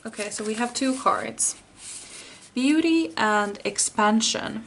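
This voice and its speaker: Slow-talking Finnish woman reading tarot. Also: fairly high pitch, animated, fairly bright tone, a little hiss or hum, rough recording. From Finland. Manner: slow, searching, rephrases often. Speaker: tarot card reader. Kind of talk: pick-a-card tarot reading.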